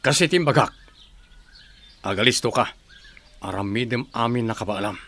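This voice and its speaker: An actor playing a stern, impatient leader of a secretive group in an Ilocano radio drama. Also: male voice, mid-range voice, normal speed, a bit bright, husky. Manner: commanding, impatient.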